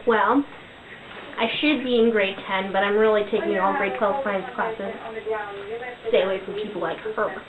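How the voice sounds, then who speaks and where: A young woman playing a cocky high school science whiz in an amateur comic skit, earnest, boastful and rambling. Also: normal speed, pauses often, lightly acted. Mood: indignant, cocky. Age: young adult. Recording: echoey, phone-like sound amid crowd chatter.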